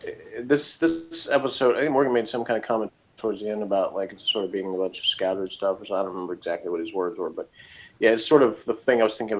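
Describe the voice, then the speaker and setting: Low-pitched man, faint background noise, casual opinionated chat, rambling phrasing. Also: highs cut off. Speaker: review show co-host.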